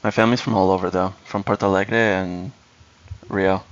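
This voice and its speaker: A man's voice: low-pitched, fairly even intonation, normal speed, muffled recording, slight background noise. A man from Brazil whose first language is Portuguese, chatting casually on a live stream, explaining strategy and answering chat.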